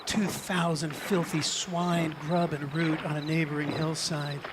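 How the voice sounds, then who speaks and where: High-voiced man slowly telling a dramatic Bible story. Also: flat delivery, noisy background. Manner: theatrical, suspenseful, with deliberate pauses. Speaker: preacher. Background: music and sound effects.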